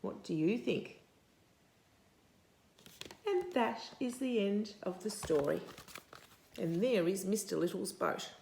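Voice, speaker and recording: A woman in her sixties reads a picture book aloud to young children in an expressive, deliberate way, stopping to put questions to them. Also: mid-range voice, vocal fry, a little hiss or hum. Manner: deliberate, animated, asking questions of young listeners. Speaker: storytime host reading a picture book to children. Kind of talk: expressive children's read-aloud with asides to the listener.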